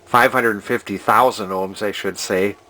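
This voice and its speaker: Low-voiced older man, unhurried rambling. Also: steady tone, bright, forceful tone. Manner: hesitant, rambling, thinking aloud. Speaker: hobbyist vintage TV restorer. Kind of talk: casual unscripted repair narration.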